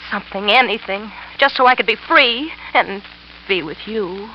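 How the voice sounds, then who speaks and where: An actress playing a greedy, scheming married woman in 1940s radio drama style, with a breathy, coaxing, hushed manner. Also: mid-range voice, normal speed, a little hiss or hum, natural voice. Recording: dull old radio recording.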